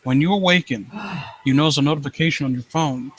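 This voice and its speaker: A man hosting a live stream, reading and commenting on stories in a halting, rambling way and often rephrasing himself. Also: on the high side, a bit bright, breathy edge. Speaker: live stream host reading and critiquing amateur horror stories. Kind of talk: story read-aloud and casual commentary.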